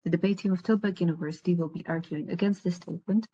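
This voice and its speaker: A woman speaking as the host of a debate tournament, making formal announcements over a video call with a husky voice. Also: on the low side, steady tone, fast, mellow, lo-fi sound, a little hiss or hum.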